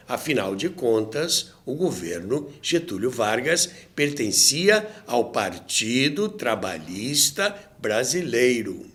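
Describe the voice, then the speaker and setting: A man's voice: on the high side, a bit bright. A Brazilian man hosting and narrating a scripted history documentary, explaining things in a didactic way with conversational asides to the listener. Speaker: host and narrator of a radio history show.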